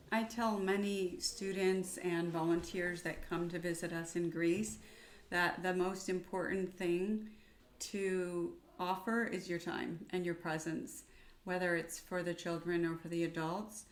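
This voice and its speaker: A woman hosting a conversational interview, speaking in a measured, appreciative way. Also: on the low side, little pitch movement. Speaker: interviewer, volunteer project founder. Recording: roomy echo.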